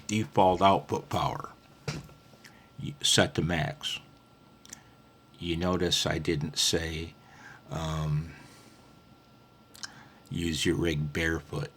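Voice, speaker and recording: A man with a low-pitched voice talks at a leisurely, slow pace, as a hobbyist sharing tips. His delivery is unscripted and folksy, wandering along in a jokey, informal way. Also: fairly bright tone. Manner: rambling, folksy, jokey, often says "man". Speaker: amateur radio hobbyist giving beginner tips. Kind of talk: casual unscripted tutorial.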